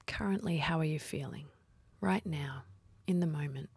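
Woman doing guided meditation, low husky voice w some creak, slow n soothing. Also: a little mellow, dry recording. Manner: slow, soothing, with long pauses.

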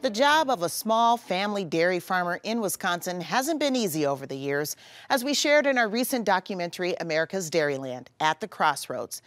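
A woman TV host delivering a scripted introduction in an animated, newsy way from a studio. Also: fairly low pitch, fairly quick, soft background music. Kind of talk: scripted presentation.

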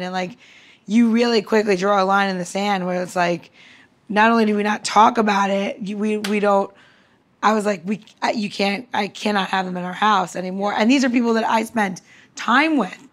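A woman with an average-pitched voice chats casually as a podcast co-host. She is blunt and heated, and her phrasing is loose and colloquial. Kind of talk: casual chat.

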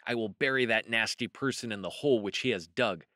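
A man's voice: on the low side, sharp tone, dry recording, clean studio sound. Man as a fussy, scheming storybook fox. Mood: gloating, fussy. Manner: fastidious, emphatic, scheming. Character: fussy, vengeful, disagreeable adult male fox. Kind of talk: children's storybook read-aloud.

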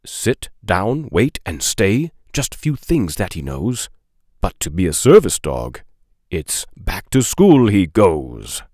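Male children's storyteller, low pitch, very lively intonation, dry close recording. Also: takes it slowly, no echo. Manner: expressive, playful emphasis. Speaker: read-aloud host. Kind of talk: animated children's storybook reading.

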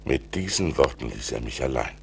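An actor playing a seafarer who recounts perilous adventures theatrically and deliberately, in an audio play transferred from vinyl. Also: male voice, a bass voice, animated, creaky, background noise, full character voice. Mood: measured, recounting. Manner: theatrical, deliberate, swelling with suspense. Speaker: narrator. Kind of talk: dramatic storytelling in an audio play.

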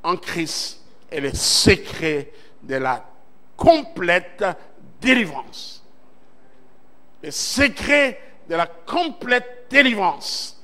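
A man from Central Congo delivers a church sermon in a high, bright voice. He speaks at an unhurried pace, reads scripture aloud and swells his words into emphatic amens. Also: big pitch swings. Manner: preaching with emphatic swells and call-and-response amens. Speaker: pastor. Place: church service.

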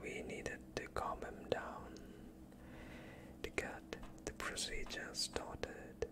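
A young man playing a polite vampire doctor in an ASMR roleplay, soothing and evasive with a sinister undertone, speaking in a hushed voice close to the mic. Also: close to an everyday voice. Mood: calmly evasive. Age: young adult. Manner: hushed, slow, courteous, evasive. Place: quiet indoor studio, dark backdrop. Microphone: close off-screen microphone.

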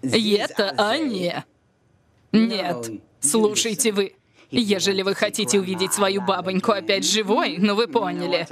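A woman's voice: mid-range voice, a little slow, lightly acted. A woman voicing a hesitant, hammy amateur blackmailer in a Russian dub of a TV comedy-drama. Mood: clumsily menacing. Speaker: dubbing actress.

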